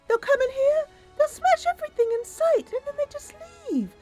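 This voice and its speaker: Very high, excitable little nixie. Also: female voice, normal speed, soft background music. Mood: indignant bargaining. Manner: theatrical, gushing, bargaining. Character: tiny excitable playful female water nixie. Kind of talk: tabletop fantasy roleplay character.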